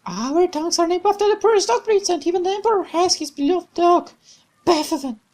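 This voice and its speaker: Astrid, a man voicing a very high-voiced young woman. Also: fairly quick, darkish tone. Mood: earnest. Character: young woman soldier, gentle but ruthless. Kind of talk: reading visual novel dialogue aloud.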